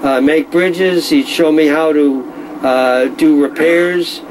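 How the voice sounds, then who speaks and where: A man's voice: a little high, steady tone, slight background noise, low-quality recording. Middle-aged man explaining at an easy pace. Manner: measured, knowledgeable, with frequent uh fillers. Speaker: violin dealer. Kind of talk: casual explanatory talk.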